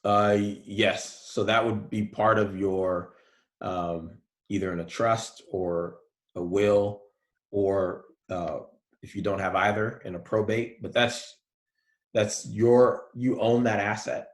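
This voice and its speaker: A male college professor giving a relaxed, soft-spoken lecture online, with many fillers. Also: on the low side, takes it slowly, roomy sound.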